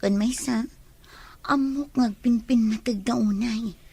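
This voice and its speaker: Actress as high-voiced lovelorn young woman. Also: takes it slowly, gravelly, a little mellow, slightly rough, no echo, full character voice. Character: young lovelorn woman pining for her friend's boyfriend. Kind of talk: Ilocano radio-style drama acting.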